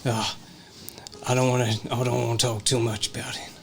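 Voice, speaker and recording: A man voicing a wary rustic motel local in a tabletop game, speaking in a folksy, halting, ominous way. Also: mid-range voice, flat delivery, gravelly, lightly acted. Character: wary rustic motel local, cagey about strange happenings.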